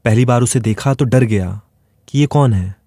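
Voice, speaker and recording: A man's voice: medium pitch, moderate pace, warm tone, no echo, clean studio sound. A man reading a scripted first-person dramatic story as its narrator.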